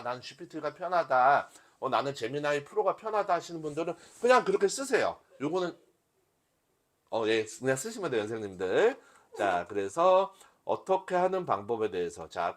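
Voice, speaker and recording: A man instructing a workshop in an informal live lecture style, talking conversationally to his listeners and explaining things step by step. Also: mid-range voice. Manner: conversational, addresses listeners and asks rhetorical questions.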